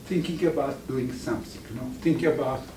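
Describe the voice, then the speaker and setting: A man whose first language is Farsi gives an informal lecture to an audience, speaking haltingly and often restarting words. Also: medium pitch, a little mellow, slight background noise. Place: lecture room with live audience. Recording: echoey room, mic far off.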